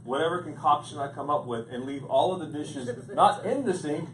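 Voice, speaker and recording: A man preaching with a playful, joking delivery, his voice sitting a little high for a man. He sounds set back from the microphone in a large echoing church hall, with steady noise underneath. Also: a little fast. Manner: playful, animated storytelling with jokes. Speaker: pastor. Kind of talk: humorous sermon. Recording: echoey hall, distant mic, background noise.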